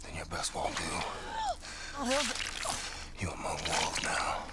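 A hardened, threatening fighter in a game drama, extremely deep and slow. Loud noise surrounds the voice. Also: male voice, completely flat delivery. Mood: menacing. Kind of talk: video game cutscene acting.

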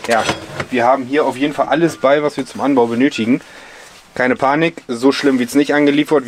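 A man's voice: medium pitch, slight background noise. A man in his thirties chatting casually while reviewing gear inside a camper van, explaining with lots of fillers. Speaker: vlogger. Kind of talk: casual product review vlog.

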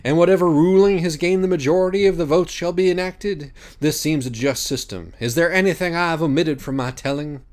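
A man's voice: on the high side, fairly quick, hardly any pauses, darkish tone, voice pushed up, natural voice. A man voicing a grave, pompous judge of souls, speaking formally and rhetorically in an audiobook. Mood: ceremonious. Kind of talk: mock-classical dialogue in an audiobook.

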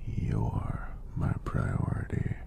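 A man playing a friendly, teasing EMT in a soft-spoken ASMR roleplay, with a deep, breathy, gravelly voice and an unhurried, reassuring manner. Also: slight background noise. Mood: calm, reassuring. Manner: unhurried, reassuring, lightly playful. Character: friendly, teasing young male EMT doing a screening.

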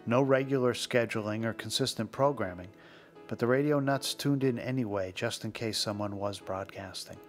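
A man with a slightly deep voice delivers scripted documentary narration. He tells it conversationally, as a storyteller with a wry streak. Soft music plays behind him. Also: normal speed. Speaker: podcast narrator and host.

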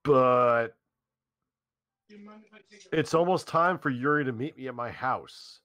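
A man's voice: medium pitch, slow, a bit bright, dry recording. A man reading a male lead's lines in an informal staged reading of a game, with joking asides.

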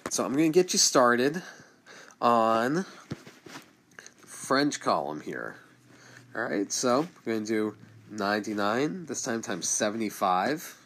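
A man's voice: mid-range voice, takes it slowly, long pauses, slight background noise. A male statistics teacher recording a screencast lesson, talking through each calculation step by step as he works it out. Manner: steady step-by-step explaining, talks through calculations aloud.

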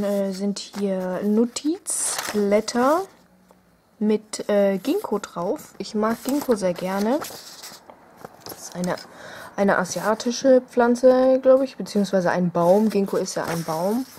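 A woman's voice: mid-range voice, warm tone, slight background noise. A young woman chatting casually as she unboxes stickers at her desk, hesitant with many fillers and small giggles. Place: indoors at a desk, home room.

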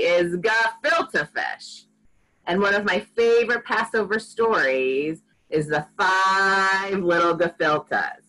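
A woman in her forties reading a picture book aloud to young children in an expressive, sing-song, deliberate way, recorded at home on a computer mic. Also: mid-range voice, poor audio. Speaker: rabbi reading to preschoolers. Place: small furnished room at home. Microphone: computer microphone on a video call.

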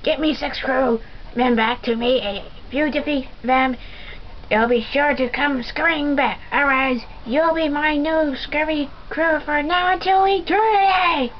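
A woman putting on a raspy ghost pirate captain. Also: high voice, a little slow, a little hiss or hum, pitched above the performer's own voice. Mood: gruff. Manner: bellowing pirate growl, rolled r's. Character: gruff male ghost pirate captain, menacing. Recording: dull lo-fi game capture with music.

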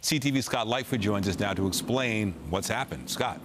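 A man anchoring the news from a studio, with smooth formal delivery. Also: on the low side, moderate pace, background noise. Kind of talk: scripted news intro.

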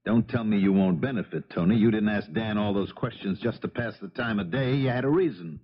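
A man acting a hard-nosed American police detective in a seventies radio play. His lowish voice is blunt and clipped, pressing accusations. The recording is old and somewhat muffled. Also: fairly quick, lightly acted. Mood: accusing.

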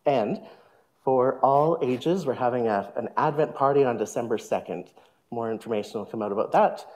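A man, a minister, giving relaxed announcements from a lectern in a church sanctuary. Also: mid-range voice, normal speed. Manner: relaxed, informal, with fillers.

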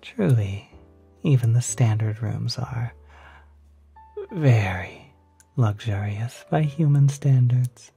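A breathy, polite demon receptionist acted by a performer in an ASMR roleplay, welcoming a guest with soft salesmanlike reassurance. The delivery is slow and mellow, faintly insidious beneath the courtesy, with music underneath. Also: mid-range voice, animated, exaggerated voice. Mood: smooth, inviting.

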